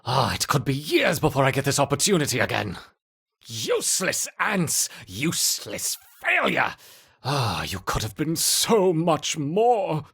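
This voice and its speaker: A man playing a frantic, scheming mad scientist obsessed with his ants in a video game, raspy and theatrical, muttering to himself. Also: a little high, wildly swooping pitch, unhurried, a bit bright, heavily acted. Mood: bitter, angry. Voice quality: raspy, husky. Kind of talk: video game character acting.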